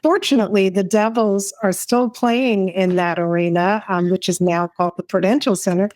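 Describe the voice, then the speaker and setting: A woman's voice: medium pitch, fairly even intonation, moderate pace, darkish tone, no echo, clean studio sound. A woman from New Jersey, a foundation head, talking about her career in an interview. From Newark, New Jersey. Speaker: guest, foundation president. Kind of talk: podcast interview.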